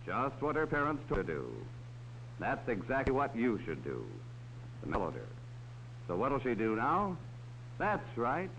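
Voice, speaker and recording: Male narrator for a 1940s kids' safety film, lively and patient, dull hissy sound. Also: mid-range voice, measured pace, pauses often. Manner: talks down to children. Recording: dull old film soundtrack with faint hiss.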